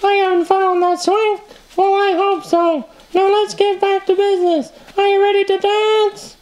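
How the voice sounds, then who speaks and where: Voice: very high voice, flat delivery, unhurried, a little mellow, a little hiss or hum, poor audio. A performer voicing a friendly little puppet creature for toddlers, babyish and cheerful in a sing-song manner, with music playing. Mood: playful, curious. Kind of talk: improvised puppet show for toddlers.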